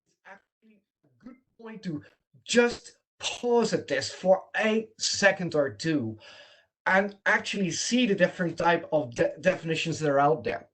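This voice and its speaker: A man with a Dutch accent leads an online training session, his voice sitting a little higher than most men's. He speaks in an earnest, emphatic way, repeating words for stress. The sound has the feel of a video call. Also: very animated, measured pace, a bit bright. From Netherlands. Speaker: presenter and trainer.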